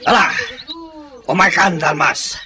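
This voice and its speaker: Man acting sharp, forceful enemy chieftain. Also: fairly high pitch, slow, vocal fry, full character voice. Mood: angry, urgent. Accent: Filipino. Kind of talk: Filipino audio drama acting.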